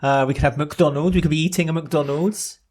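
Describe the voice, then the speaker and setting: A man's voice: on the high side, steady tone, brisk, a little mellow. A young man co-hosting a casual podcast chat, playful and laughing often as he rambles through plugs and jokes. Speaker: podcast co-host.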